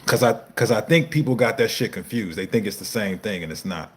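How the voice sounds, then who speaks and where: A man in his thirties talks through a live Q&A with his viewers, answering fitness questions in loose street slang and dropping curse words as he goes. His voice sits fairly low, and he laughs easily at the comments he reads. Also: fairly quick. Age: 30s. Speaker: fitness coach hosting a live Q&A. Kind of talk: casual livestream Q&A, reading viewer comments.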